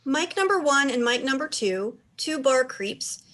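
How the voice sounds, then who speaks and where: A woman's voice: high voice, expressive, a little slow, a bit bright. A woman reading out stage directions as the narrator of a dramatized play reading.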